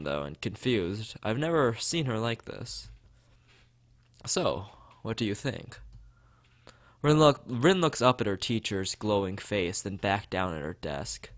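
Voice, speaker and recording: A man hosting a game playthrough, reading visual novel narration aloud in an informal way and sometimes stumbling over lines and rereading them. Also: on the low side. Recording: music playing behind the voice. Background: plucked guitar game music.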